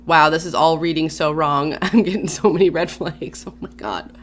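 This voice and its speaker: Low-voiced woman chatting casually. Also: fairly even intonation, moderate pace, a little hiss or hum. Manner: casual, chatty asides with sighs and laughs. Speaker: YouTube channel host. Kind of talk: reading a story aloud with commentary.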